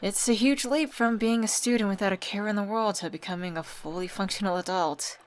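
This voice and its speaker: A woman voice actor playing a supportive, gently teasing young best friend in a scripted ASMR roleplay, talking casually and intimately. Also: medium pitch, brisk, close to an everyday voice. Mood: reassuring.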